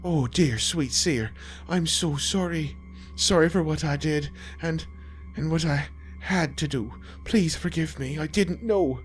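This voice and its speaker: A man's voice: a little high, a little slow, soft background music, pitched above the performer's own voice, natural voice. A man voicing a cruel nurse turned frantic and groveling, begging forgiveness in a strained, raised voice. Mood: pleading.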